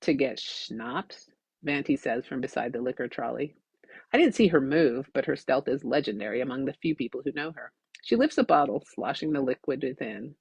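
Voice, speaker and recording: A woman reading her own audiobook story in an expressive, scripted narrating style. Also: a bass voice, a little fast, vocal fry, slightly rough, no room sound.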